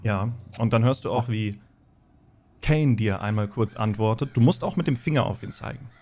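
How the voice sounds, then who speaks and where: A man's voice: fairly low pitch, normal speed, darkish tone. A man running a tabletop game as game master, describing scenes and instructing players in improvised narration.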